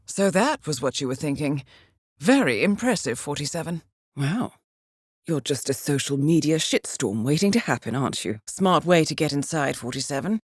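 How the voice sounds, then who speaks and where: A composed, middle-aged spy handler briefing an assassin in a video game. Her voice sits fairly low and moves expressively through each phrase, formal and dryly understated. The recording is close and dry, with no room sound. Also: animated, normal speed, breathy edge, no room sound. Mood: dryly amused. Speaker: mission handler. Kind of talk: scripted game voice acting, mission briefing.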